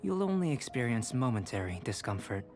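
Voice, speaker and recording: An actor playing a calm, cerebral young alchemist in a video game, speaking in a measured, scholarly way. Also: male voice, medium pitch, faint music behind. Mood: calm, clinical. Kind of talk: video game character acting.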